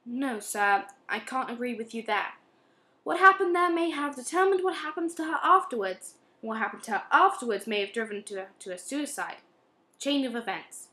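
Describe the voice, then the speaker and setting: Stern, deliberate inspector for amateur radio play, high register female performer. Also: animated, bright, forceful tone. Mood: firm. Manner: measured, deliberate, firm. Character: stern, insistent, morally severe police inspector.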